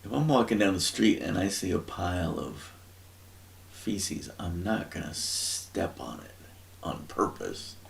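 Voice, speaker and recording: A man giving an informal, rambling Bible teaching, thinking aloud and often pausing to search for words. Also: low voice, expressive, gravelly.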